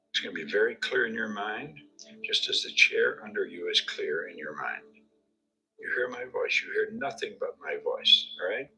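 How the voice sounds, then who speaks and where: A man leading a guided hypnosis session with slow, soothing, repetitive suggestions and a gravelly voice. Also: lowish voice, sharp tone, poor audio.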